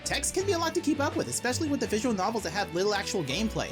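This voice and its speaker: A woman narrates a scripted gaming essay with a playful, informal delivery. She talks quickly, and music plays behind her voice. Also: medium pitch, big pitch swings. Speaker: video essay host. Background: background music.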